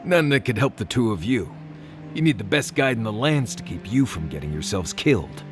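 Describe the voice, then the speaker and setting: A man voicing a gruff guide in a fantasy game. His lowish voice is animated in pitch yet dry and sardonic, grudging in temper, over faint music. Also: brisk, natural voice. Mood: grudging, deadpan. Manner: deadpan, curmudgeonly, sardonic. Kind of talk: conversational video game voice acting.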